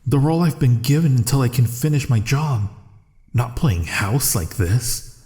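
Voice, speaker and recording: A man playing a secret assassin posing as a polite bodyguard in an ASMR roleplay, with a soft, gentle voice and a dry, restrained manner. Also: mid-range voice, expressive, normal speed, lightly acted. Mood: flustered, softening. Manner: restrained, dry, inner monologue and courteous address. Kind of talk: ASMR roleplay audio acting.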